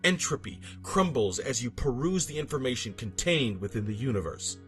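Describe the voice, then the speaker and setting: A man narrates a scripted horror story in a mid-pitched voice. His delivery is theatrical and solemn, with deliberate phrasing. Music plays behind him. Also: very animated, a bit bright. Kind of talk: dramatic scripted creepypasta narration. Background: background music.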